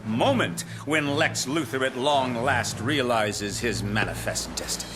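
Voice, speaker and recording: Grandiose, self-important male villain, acted: unhurried, medium-pitched, game cutscene, heavy background noise. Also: animated, music in the background, natural voice. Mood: smug grandeur. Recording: very noisy game audio.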